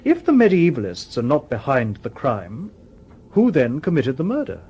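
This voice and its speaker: A polite robot detective for radio drama. The voice is slightly husky, with formal, exact phrasing and a wide melodic range. He stays logical and composed. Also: mid-range voice, wildly swooping pitch, warm tone, full character voice. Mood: cool, logical. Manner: formal, precise, measured, unemotional.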